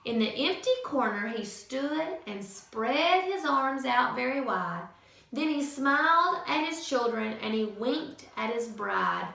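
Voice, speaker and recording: A woman teacher reading a picture book aloud to children in an expressive, sing-song storytelling manner. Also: a little high, slow pace, gravelly. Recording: echoey room from far off.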